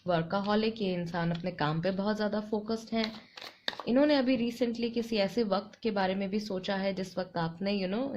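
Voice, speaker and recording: A woman with an Indian accent giving a tarot reading to her viewers, her throat a little hoarse, speaking in an unhurried, reflective way and repeating phrases for emphasis. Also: mid-range voice, highs cut off, slight background noise. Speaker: tarot reader.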